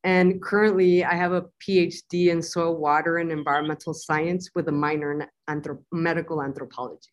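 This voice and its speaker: Low-voiced woman from the US-Mexico border. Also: level tone. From US-Mexico border, Nogales. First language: bilingual Spanish and English. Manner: earnest, measured, with frequent fillers. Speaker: guest, community-engaged scientist. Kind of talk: interview answers.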